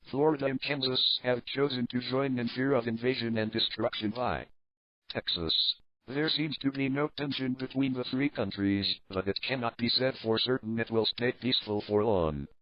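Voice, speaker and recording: A man acting as a sober news anchor with a medium-pitched voice. He reads a scripted report formally and with measure, on a dry, slightly muffled lo-fi recording. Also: no room sound, close to an everyday voice. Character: sober adult male news anchor of alternate-history crisis. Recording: dull lo-fi narrow band.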